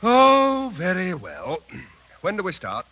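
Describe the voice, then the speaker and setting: An actor playing a wry, loyal cavalry officer in a 1930s radio drama, urbane and sardonic, with a husky voice. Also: male voice, high voice, wildly swooping pitch, slow pace, bright, forceful tone, full character voice. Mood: mocking, playful. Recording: dull vintage 1930s radio broadcast.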